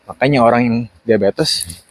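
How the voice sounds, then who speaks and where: A man's voice: medium pitch, moderate pace, rough recording. A young Indonesian man, a graduate student and podcast host, chatting casually and explaining things while walking outdoors on a campus. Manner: relaxed, explanatory, mixes in English words. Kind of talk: casual walking vlog chat. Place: outdoors on a university campus in autumn.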